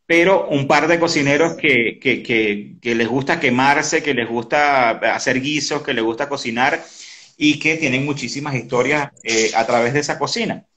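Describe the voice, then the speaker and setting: A man in his early fifties hosting a casual interview on a video call, speaking haltingly and often repeating words. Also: mid-range voice, fairly bright tone. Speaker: interview host. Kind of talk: casual conversational online interview. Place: indoor room at home. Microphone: video call, device close in front.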